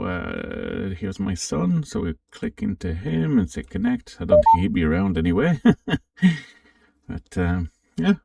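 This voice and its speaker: A low male voice with a gravelly fry and lively, swooping intonation. He gives an informal software walkthrough, thinking aloud as he goes, recorded in a roomy space. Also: clean studio sound. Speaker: amateur radio channel host. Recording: roomy, distant microphone.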